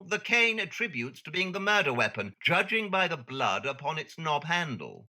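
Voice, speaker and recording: An actor playing an arrogant, brilliant Victorian detective who lectures condescendingly in an audio drama. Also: male voice, fairly high pitch, big pitch swings, moderate pace, sharp tone, heavily acted. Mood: pedantic, assured. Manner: pompous, lecturing, cutting.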